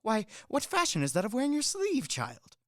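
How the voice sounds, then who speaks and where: A man's voice: high voice, huge pitch swings, moderate pace, fairly bright tone, dry recording, clean studio sound, exaggerated voice. A man in a radio play acting a shrewd, self-important manservant who coaxes and lectures with emphasis. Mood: confiding, coaxing. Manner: wheedling, instructing, emphatic.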